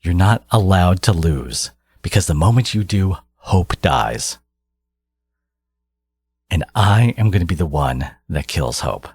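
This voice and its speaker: A deep-voiced supervillain, played by a man, in an intimate audio drama. He is scornful and possessive, with grandiose, exasperated emphasis that swings widely in pitch. The voice is husky and recorded close and dry. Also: lively intonation, takes it slowly, a little mellow, no echo, heavily acted. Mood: angry, possessive. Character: theatrical male supervillain, possessive, scornful archnemesis tending his rival. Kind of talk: scripted audio roleplay monologue.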